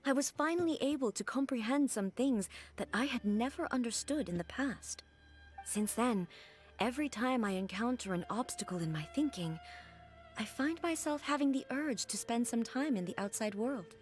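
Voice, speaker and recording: A woman voicing a solemn, regal immortal goddess in a video game, speaking in a formal, measured and stately way. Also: on the high side, expressive, faint music behind, close to an everyday voice. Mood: solemn, composed.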